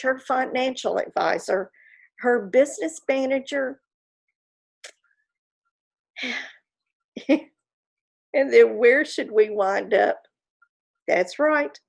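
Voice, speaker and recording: A woman's voice: mid-range voice, gravelly. A woman playing a proud, gossipy 1940s Hollywood stage mother in a theatrical monologue, chatty and self-satisfied, with confiding asides. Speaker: actor in a staged reading.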